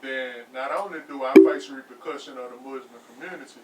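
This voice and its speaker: A man's voice: mid-range voice, fairly quick, far from the mic, a loud crowd. A man co-hosting a casual podcast, reasoning aloud with stammers, restarts and profanity. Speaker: podcast co-host. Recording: echoey room, off-mic.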